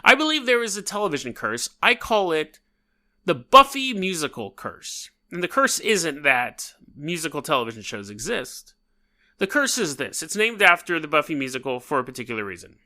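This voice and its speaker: Male podcast host, 30s, bright and fairly high voice, a touch hoarse from a cold, chatty and rambling. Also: big pitch swings, normal speed. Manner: chatty, rambling and self-amused. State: slightly hoarse from a head cold. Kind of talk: casual solo podcast monologue.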